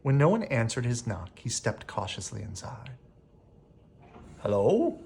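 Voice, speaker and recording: A man reading a children's bedtime story aloud as an expressive narrator. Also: medium pitch, normal speed, creaky, a little hiss or hum. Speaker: storybook reader.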